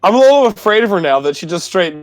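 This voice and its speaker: A man's voice: sits high, big pitch swings, fast talker, faint music behind. A man playing a tabletop game, chatting informally and loosely as he describes his character's moves. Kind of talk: casual game chat.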